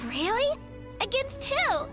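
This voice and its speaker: A woman voicing a tiny, excitable fairy companion girl with a squeaky, childlike voice in a video game. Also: slow pace, over music, full character voice. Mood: curious. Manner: exclamatory, eager.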